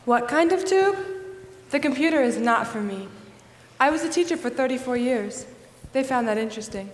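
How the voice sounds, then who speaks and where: A woman's voice: fairly high pitch, animated, normal speed, natural voice. Woman acting a prim retired schoolteacher, echoey. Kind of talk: live stage play acting. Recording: echoey hall, distant stage sound.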